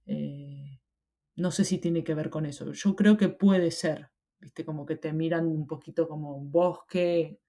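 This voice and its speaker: A young woman from Argentina with an Argentine Spanish accent talks through her thoughts in a relaxed interview. Her voice is mellow and dark. She hesitates a lot and restarts her phrases. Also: deep voice, unhurried. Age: young adult. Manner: reflective, many hesitations and restarts. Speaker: interview guest. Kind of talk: casual interview conversation.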